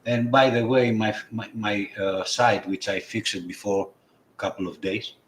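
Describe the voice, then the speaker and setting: A man with a Greek accent who learned English as a second language. His voice sits low, and he speaks haltingly, with frequent fillers, restarts and apologies. He is on a video call. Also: steady tone, a little hiss or hum. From Greece. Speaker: guest presenter. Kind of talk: casual livestream chat.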